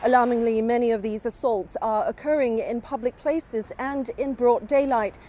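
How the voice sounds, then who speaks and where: A woman news correspondent reporting from city streets in a measured, formal broadcast delivery. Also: fairly high pitch, steady tone, dark tone, slight background noise.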